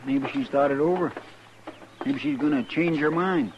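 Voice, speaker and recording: A man's voice: fairly high pitch, moderate pace, close to an everyday voice. Man as a folksy western sidekick, rustic talk, deferential, muffled old radio sound with heavy hiss. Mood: wry, gossipy. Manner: drawling rustic grammar, folksy. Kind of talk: 1950s radio drama acting.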